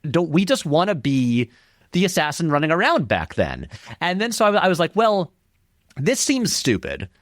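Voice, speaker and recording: Animated man chatting about media, lively intonation. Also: a little high, normal speed, dry recording, clean studio sound. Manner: animated, discursive explaining, frequent "like". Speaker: interview guest, filmmaker and video essayist. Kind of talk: casual film commentary in conversation.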